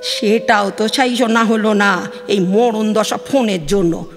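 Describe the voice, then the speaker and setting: A woman's voice: medium pitch, steady tone, measured pace, close to an everyday voice. Woman playing a gossipy Bengali mother, reverberant. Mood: weary, complaining. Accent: Bengali, West Bengal. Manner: chatty, complaining, scandalised. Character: aggrieved, gossipy middle-aged village mother on the phone. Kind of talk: dramatic audio-play phone monologue. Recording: long studio reverb, music behind.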